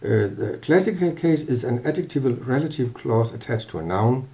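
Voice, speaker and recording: Older Danish man lecturing in a dark voice. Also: mid-range voice, animated, lo-fi sound. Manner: halting, self-correcting explanations. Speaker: language teacher. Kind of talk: lecture to camera. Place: small office.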